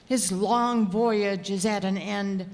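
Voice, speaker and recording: A man's voice: sits high, steady tone, slow, a little mellow. A man playing a devout, fatherly comrade, solemn and reverent in old radio melodrama style. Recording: echoey hall, faint noise.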